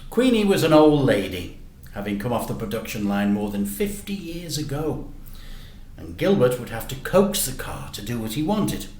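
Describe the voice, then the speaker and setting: A man reading a children's story aloud in an animated, deliberate way with dramatic emphasis. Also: medium pitch, a little hiss or hum. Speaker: teacher reading stories to children. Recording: echoey room.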